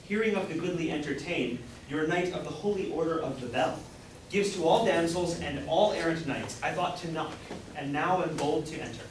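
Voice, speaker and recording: A man's voice: sits high, lightly acted. A man playing a pompous mock-heroic knight, declaiming grandly in an amateur staged reading. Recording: roomy, distant mic, faint noise.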